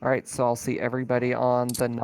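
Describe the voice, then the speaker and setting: A man's voice: mid-range voice, monotone, fast, darkish tone, slight background noise. A man running a tabletop game as game master, chatting casually with the players after a session. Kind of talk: casual post-game chat.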